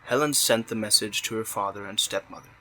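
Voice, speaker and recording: Bright, crisp-edged male story narrator. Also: lowish voice, a little fast, rough recording.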